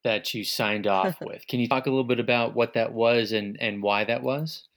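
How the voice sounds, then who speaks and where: Tentative man interviewing, medium pitch. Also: steady tone, moderate pace, a bit bright. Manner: tentative, rephrasing questions as he goes. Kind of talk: conversational oral history interviewing.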